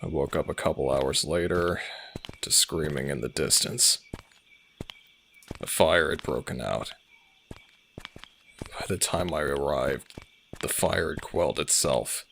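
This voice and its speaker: Man acting as a very deep, gravelly old werewolf alpha. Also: fairly bright tone, a little hiss or hum, full character voice. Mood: somber storytelling. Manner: slow, brooding, deliberate. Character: world-weary, sardonic old werewolf alpha male, centuries old. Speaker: audio drama voice actor. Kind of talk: dramatic audio roleplay acting.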